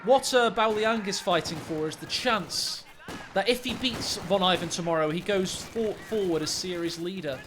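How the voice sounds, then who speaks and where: Male esports caster, high voice, playful and jokey, game sounds underneath. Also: moderate pace, noisy background. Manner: jokey, laughs often. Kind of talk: laid-back esports co-commentary.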